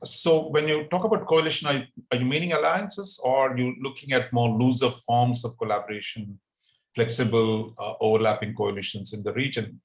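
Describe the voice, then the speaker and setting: A man acts as interviewer in a policy discussion. He speaks in a measured manner, carefully setting up lengthy questions for his guest. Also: mid-range voice, steady tone. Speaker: interviewer, moderator.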